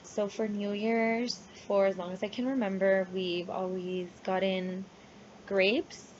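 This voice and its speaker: A woman's voice: medium pitch, flat delivery, slow, darkish tone, slight background noise. Young woman, Spanish first language, college student; chatty class self-intro on a muffled webcam mic. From New Mexico, United States. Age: young adult. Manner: chatty, many fillers and "like"s. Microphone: laptop webcam mic.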